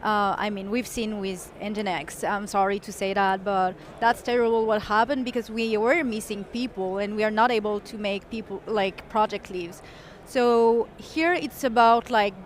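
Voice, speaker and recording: A woman guest at a conference interview, explaining her community work eagerly in quick bursts. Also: on the high side, a little hiss or hum. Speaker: software engineer and community guest.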